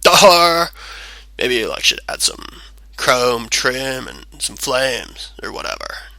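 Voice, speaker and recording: A man's voice: medium pitch, animated, slow pace, pushed and bright, dry recording, heavily acted. Man putting on an offhand, sarcastic older boy.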